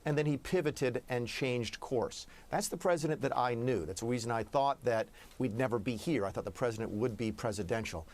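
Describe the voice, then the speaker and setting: A man's voice: medium pitch, darkish tone. A man answering questions as a guest in a live television interview, speaking hesitantly with many fillers and restarts. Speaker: interview guest, former official.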